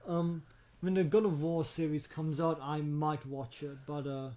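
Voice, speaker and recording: A man co-hosting a casual podcast discussion, talking in a halting, hesitant way and often repeating himself. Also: fairly high pitch, little pitch movement, dark tone. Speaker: podcast co-host.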